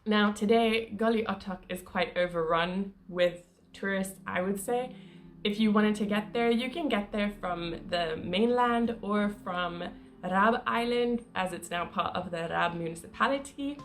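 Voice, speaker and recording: A woman's voice: mid-range voice, a little slow. A woman narrating her travel vlog in a casual, chatty way, with small laughs and fillers. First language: not Croatian. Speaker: travel vlogger.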